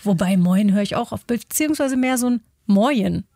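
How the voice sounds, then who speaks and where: A woman from the Bremen area co-hosting a morning radio show, telling stories quickly and cheerfully. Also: mid-range voice, expressive, gravelly, warm tone, no echo, clean studio sound. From Lower Saxony, near Bremen. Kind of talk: lively radio chat.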